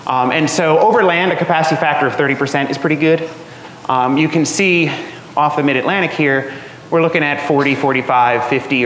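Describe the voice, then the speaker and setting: Man lecturing in an echoey hall. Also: medium pitch. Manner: relaxed, chatty, many ums and uhs. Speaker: guest seminar speaker. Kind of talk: academic seminar talk. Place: lecture room. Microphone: room microphone. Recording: echoey hall, faint noise.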